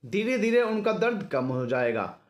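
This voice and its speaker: A female doctor, played by a male narrator in an audio story. The delivery is polite and reassuring, explaining patiently in a slightly high, varied voice. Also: sing-song, normal speed.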